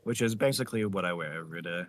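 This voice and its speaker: A man with a slightly nasal, getting-hoarse voice, rambling and joking deadpan in casual stream chat. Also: low voice, very fast, slight background noise.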